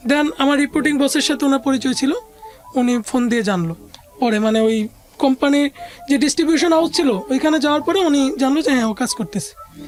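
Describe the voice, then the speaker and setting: High-voiced Bangladeshi woman telling her story. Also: fairly quick, a little mellow, soft background music. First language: Bengali. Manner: conversational, mixes in English words. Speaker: interview guest. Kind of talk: recounting a personal life story.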